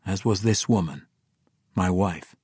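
A man voicing a courteous dark elf ranger in a fantasy audiobook, speaking calmly, formally and deliberately. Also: low voice, breathy edge, no room sound, studio quality, heavily acted. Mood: assured.

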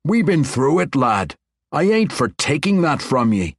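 A man voicing a gruff old male dwarf king who speaks bluntly in a folksy dwarven brogue. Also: a little high, sing-song, measured pace, dry recording, studio quality, pitched above the performer's own voice, full character voice.

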